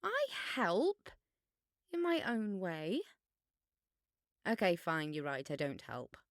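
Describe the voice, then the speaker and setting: A woman's voice: fairly low pitch, wildly swooping pitch, slow, no room sound, clean studio sound, full character voice. Swooping, haughty schoolgirl. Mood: squeamish. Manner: haughty, theatrical, picky. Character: Katrina, bossy, fussy, self-important schoolgirl. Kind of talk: scripted audio drama.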